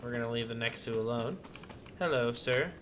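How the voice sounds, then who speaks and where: A male gaming streamer with a medium-pitched voice chats casually through his play. He thinks aloud with playful little phrases. Game ambience and typing are clearly audible behind him. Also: moderate pace. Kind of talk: casual gameplay commentary. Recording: clear background game noise.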